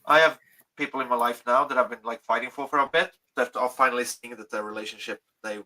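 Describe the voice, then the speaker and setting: A Swedish man with a Swedish accent, co-hosting a podcast in casual chat, animated and swearing constantly as he rambles. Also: mid-range voice, fast. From Sweden. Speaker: podcast co-host.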